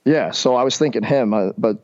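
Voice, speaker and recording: Jokey co-host on a dull line. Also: male voice, medium pitch, brisk, darkish tone. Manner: jokey, boastful, says dude and bro. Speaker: podcast co-host, former college player. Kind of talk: casual podcast banter.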